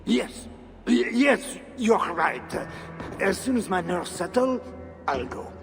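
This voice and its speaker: High, creaky medieval churchman. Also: very animated, slow pace, a bit bright, soft background music, full character voice. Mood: nervous. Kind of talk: video game cutscene acting.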